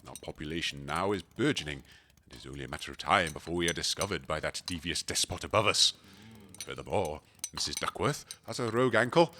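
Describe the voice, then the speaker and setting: An actor playing a pompous, courtly gentleman host in a comedic audio drama, speaking in flowery, formal, theatrical phrases. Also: extremely low pitch, expressive, quick pace, a little hiss or hum, heavily acted. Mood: grandiose.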